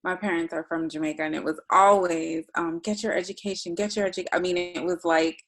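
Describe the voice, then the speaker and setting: A woman with a low, gravelly voice talks through her thoughts on a podcast. She circles back and restarts her sentences as she reflects, in a relaxed, conversational way. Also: a little fast, faint music behind. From United States.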